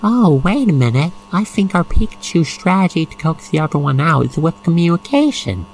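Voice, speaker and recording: An expressive narrator guiding the listener through an ASMR roleplay story in a gushing, sentimental manner. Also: medium pitch, moderate pace, slight background noise, poor audio.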